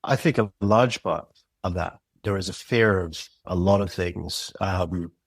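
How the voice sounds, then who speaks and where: A middle-aged man with a very low, somewhat husky voice answers interview questions reflectively. He pauses, circles back and restarts phrases as he works through his thoughts. The sound is dry and close. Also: no room sound. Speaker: podcast guest, writer and director.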